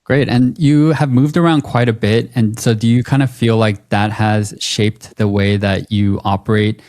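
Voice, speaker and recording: A man's voice: on the low side, steady tone, normal speed, a little mellow. A man hosting a podcast, reading an upbeat intro and asking interview questions. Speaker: podcast host.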